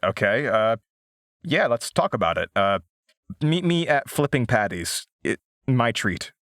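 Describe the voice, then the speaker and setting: A man acting as an earnest, reflective radio show host in an audio drama, speaking in a measured way with pauses. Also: medium pitch, big pitch swings, fairly bright tone, dry recording, studio quality. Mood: relieved, casual.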